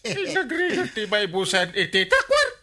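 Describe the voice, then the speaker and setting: Whiny broke husband, wildly swooping pitch. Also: male voice, wildly swooping pitch, normal speed, sharp tone, heavily acted. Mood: tearful, whining. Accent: Ilocano. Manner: whiny, exaggerated, swooping reactions. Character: hapless whiny broke husband and village captain. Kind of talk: Ilocano radio comedy drama acting.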